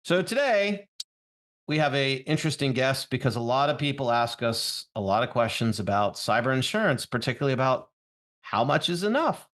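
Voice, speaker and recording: A man's voice: mid-range voice, big pitch swings, moderate pace, fairly bright tone. A man co-hosting a podcast with an everyday mid-range voice. He moves between a scripted self-introduction and dry, teasing banter with his co-host.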